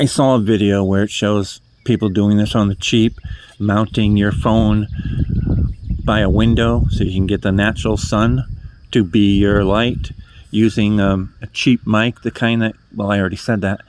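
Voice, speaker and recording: A man over fifty with a slightly low voice and a New England accent talks in a loose, meandering way, often correcting himself mid-sentence. He is recorded on a phone he holds in his hand, in the casual tone of a first-time vlogger. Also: fairly even intonation, a little slow, a little mellow, a little hiss or hum. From New Hampshire, New England. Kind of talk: casual rambling monologue. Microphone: phone held in hand.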